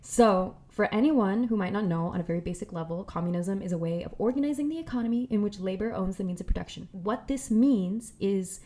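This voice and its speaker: A young woman talking through a casual commentary video essay in a chatty, slangy way with animated asides. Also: medium pitch, fairly quick, few pauses.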